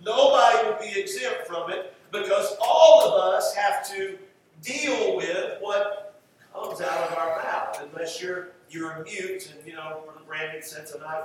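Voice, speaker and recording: A high-pitched male preacher teaches in a conversational sermon style, repeating phrases for emphasis as he goes. The sound is very roomy and echoing, picked up at a distance from the microphone. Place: echoey church room. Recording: roomy echo, distant mic, faint noise.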